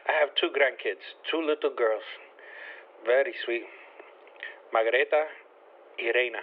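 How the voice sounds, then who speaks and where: A man's voice: mid-range voice, a little slow. Older guy w spanish accent on a phone line, narrow tinny sound, hesitant n polite, repeats himself a lot. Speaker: call recipient. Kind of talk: casual phone conversation.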